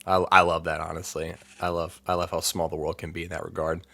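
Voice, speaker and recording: A man's voice: low voice, flat delivery, quick pace, gravelly, sharp tone, no echo. A man talking as a podcast guest in easygoing chat, rambling with fillers and restarts. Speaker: band vocalist, podcast guest. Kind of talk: casual interview chat.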